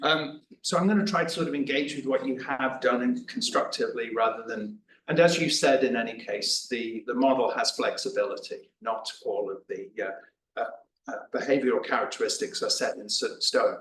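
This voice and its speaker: A man's voice: medium pitch, normal speed, fairly bright tone. Man discussing a paper, far from mic. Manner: chatty, self-deprecating, restarts words. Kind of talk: conference discussion. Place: conference room. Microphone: away from the room microphone. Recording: roomy, distant mic, faint noise.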